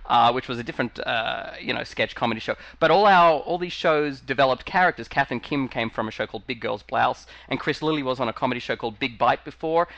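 A man's voice: medium pitch, fast talker, gravelly, hardly any pauses, a bit bright, highs cut off. A man from Australia with an Australian accent, partly Americanized, chatting casually as a guest, rambling and laughing often. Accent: Australian, with some American influence. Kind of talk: casual comedic chat.

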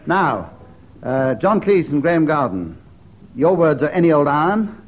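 A man's voice: fairly high pitch, big pitch swings, a little slow. A man hosting a radio comedy panel game, announcing rounds and scores with dry, wry asides. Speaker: quiz show host. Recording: radio panel show with audience.

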